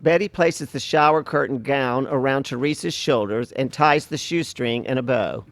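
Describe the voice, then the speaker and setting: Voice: mid-range voice, moderate pace. A narrator reading stage directions from a play script in a matter-of-fact manner.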